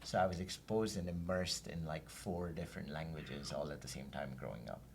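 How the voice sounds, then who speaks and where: A very deep-voiced middle-aged man, a guest who grew up in Saudi Arabia, recalls his past in an unhurried, reflective way, pausing on fillers. A faint hum of room ambience sits underneath. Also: creaky. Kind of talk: casual interview chat.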